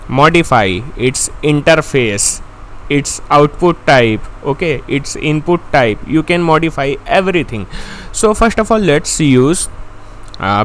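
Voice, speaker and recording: A young man with an Indian accent, a native Hindi speaker, slowly walking through a software tutorial step by step. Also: medium pitch, a little hiss or hum, rough recording. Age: young adult. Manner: slow, step-by-step, excitable. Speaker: tutorial host. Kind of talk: screen-recorded software tutorial. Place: indoor room. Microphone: handheld foam-covered mic.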